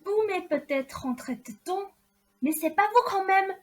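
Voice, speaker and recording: A woman's voice: extremely high pitch, animated, measured pace. A woman playing a naive, sulky young prince in a student French radio play. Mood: upset, protesting.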